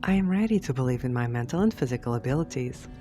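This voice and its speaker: A woman reads scripted affirmations for sleep hypnosis in a measured, deliberate way, phrase by phrase. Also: very deep voice, expressive. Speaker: affirmations narrator. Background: background music.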